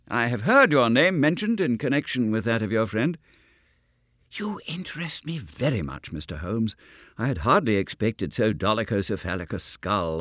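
A man voicing an eager, fussy young country doctor, speaking in an excitable, effusive way in an audiobook reading. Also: no echo, clean studio sound. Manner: excitable, effusive and high-strung.

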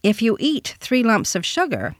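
A narrator playing a polite young American gentleman who talks in a courteous, measured, mildly paternal way. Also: medium pitch, sing-song, no echo, clean studio sound, voice pushed up. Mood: amused.

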